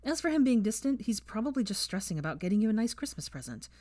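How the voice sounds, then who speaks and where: A woman's voice: fairly high pitch, very animated, fast talker, a little mellow, no room sound, studio quality, voice pushed up. A woman voicing a blunt, nonchalant young witch who talks in an offhand, self-assured way.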